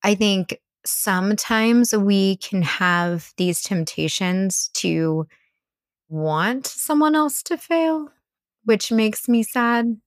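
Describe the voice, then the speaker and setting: A woman's voice: medium pitch, animated, drawn-out words, no room sound, studio quality. An American woman speaking as a mental coach, giving earnest, encouraging advice in a conversational style. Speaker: mental coach, podcast guest.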